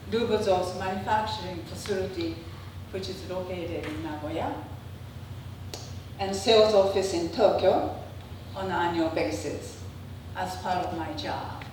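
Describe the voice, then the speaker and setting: Older female presenter, native Japanese speaker with Japanese accent, measured halting delivery, far from the mic with clear background noise. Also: mid-range voice, very echoey. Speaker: presenter, traditional Japanese dancer. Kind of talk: prepared autobiographical slide talk.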